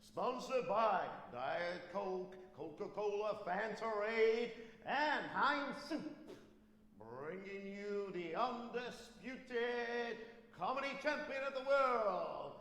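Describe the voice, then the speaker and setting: A male announcer delivers a boxing-style introduction. His voice is extremely high and his pace very slow. It rings out in an echoey hall with long reverb. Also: expressive, fairly bright tone.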